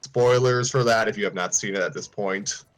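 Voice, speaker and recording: A man with a fairly low voice hosts a casual livestream chat. He rambles, stops to fix his wording and drops chuckling asides. Faint music plays underneath. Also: normal speed. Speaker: host.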